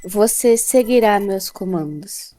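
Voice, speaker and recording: A Brazilian woman playing a commanding female netrunner in an audio drama, speaking slowly, deliberately and imperiously. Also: mid-range voice, animated, warm tone, no echo.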